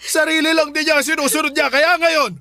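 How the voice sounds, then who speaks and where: A man playing a stern, hot-tempered middle-aged father in a Filipino radio drama, scolding and gruff in manner. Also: extremely high pitch, little pitch movement, fairly quick, sharp tone, faint music behind, exaggerated voice. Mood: furious, scolding.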